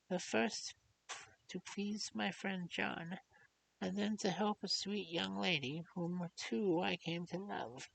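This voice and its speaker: A reader voicing a grave, stern old male doctor in an audiobook, speaking slowly and earnestly. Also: medium pitch, creaky, bright, forceful tone, a little hiss or hum, pitched above the performer's own voice, exaggerated voice, poor audio. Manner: slow, deliberate, earnest pleading. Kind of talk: audiobook character reading.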